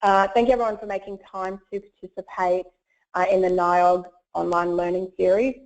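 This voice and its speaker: A woman presents over an online meeting, her voice gravelly and croaky with a cold. She talks in a friendly way, with plenty of ums and uhs. The call audio is dull and lo-fi. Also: lowish voice, level tone, normal speed, a little mellow. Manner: friendly, with frequent fillers. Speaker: webinar presenter, solutions consultant. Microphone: web conference audio. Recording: muffled lo-fi web call audio.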